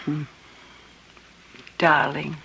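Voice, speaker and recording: A woman playing a frail, elderly dying grandmother in a radio drama, tender and wistful, with a halting, breathy rasp. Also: deep voice, completely flat delivery, a little hiss or hum, full character voice. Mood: tender, pleading. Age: older. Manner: halting, gentle, affectionate.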